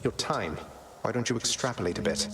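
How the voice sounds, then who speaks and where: Low-voiced sardonic young prince. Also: male voice, animated, a little fast, heavy background noise. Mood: impatient, wry. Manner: dry, flippant, casual. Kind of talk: video game acting. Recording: music behind the voice.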